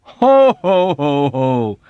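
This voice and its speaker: A man voicing a smug, chubby male army officer who laughs with pompous, booming heartiness. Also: huge pitch swings, a little slow, dry recording, dull audio. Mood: smug jovial.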